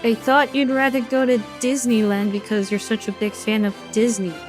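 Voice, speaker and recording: A woman acting a blunt, grumpy young female character with a dry, testy manner in an animated fan drama. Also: fairly high pitch, moderate pace, over music, lightly acted.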